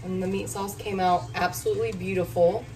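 A woman's voice: mid-range voice, fairly even intonation, fairly quick. A woman, chatting viewers through a cooking tutorial step by step. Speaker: cooking vlogger. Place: hotel room. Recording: distant mic with clear room noise.

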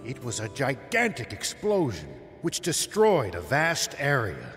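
An actor playing a stern older military veteran in a video game, speaking with grave, commanding urgency. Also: male voice, medium pitch, huge pitch swings, unhurried, fairly bright tone, big-room sound, faint music behind. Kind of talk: dramatic video game voice acting.

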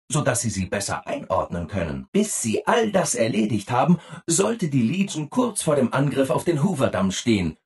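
An actor playing a relentlessly cheerful, eager-to-please robot assistant in a video game, exaggeratedly upbeat and obliging. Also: male voice, mid-range voice, breathy edge, exaggerated voice. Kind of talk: video game character acting.

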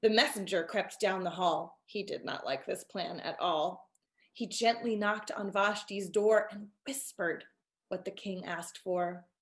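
A woman in her thirties reading a rhyming children's storybook expressively and deliberately over a video call. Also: mid-range voice, fairly bright tone. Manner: measured, deliberate storybook delivery. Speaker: storyteller and author reading her picture book. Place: indoor room at home, on a video call. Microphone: computer video call.

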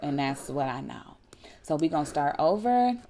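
A woman in her thirties giving a casual, conversational tutorial with colloquial, animated delivery into a close desktop mic. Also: low voice, moderate pace, slight background noise. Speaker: radio personality giving a production tutorial. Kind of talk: casual instructional talk. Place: small room at home, indoors. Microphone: desktop USB condenser mic, close.

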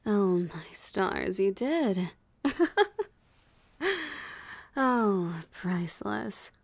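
A woman's voice: medium pitch, takes it slowly, gravelly, mellow, no room sound. A woman voicing a possessive, mocking female vampire in an ASMR roleplay, with a teasing, theatrically smug drawl. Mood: mocking, amused. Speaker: voice actor. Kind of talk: ASMR roleplay audio drama.

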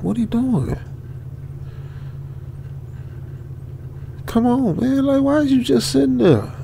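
A man playing a jittery stranded young trucker in a radio drama, muttering to himself in halting, slangy speech. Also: extremely high pitch, lively intonation, vocal fry, dark tone, a little hiss or hum, exaggerated voice. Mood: puzzled, impatient. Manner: halting, muttering, colloquial slangy self-talk. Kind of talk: ASMR old-school radio drama monologue.

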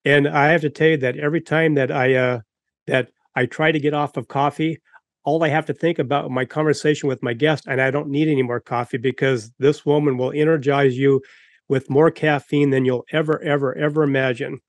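A man's voice: mid-range voice, steady tone, fairly quick, dry recording, clean studio sound. A man in his fifties hosting a podcast over a video call from home, earnest and rambling, with frequent restarts. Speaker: podcast host. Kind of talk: enthusiastic podcast introduction.

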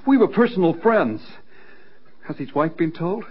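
Worried earnest air force pilot, old radio drama, raspy and a bit high. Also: male voice, lively intonation, normal speed. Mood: troubled, earnest. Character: anxious, earnest male military pilot. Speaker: actor. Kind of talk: mid-century radio drama acting. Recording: dull lo-fi vintage radio recording.

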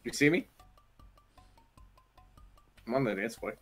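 A man's voice: medium pitch, lively intonation, takes it slowly, slightly rough, soft background music. A young man chatting over a headset while gaming, teasing his friend with crude jokes and laughing often. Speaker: streamer. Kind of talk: casual gaming stream banter.

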